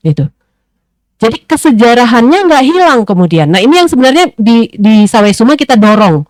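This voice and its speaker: A woman panelist speaking in a discussion, thoughtful and pausing often with fillers as she reasons aloud. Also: mid-range voice, darkish tone, no room sound.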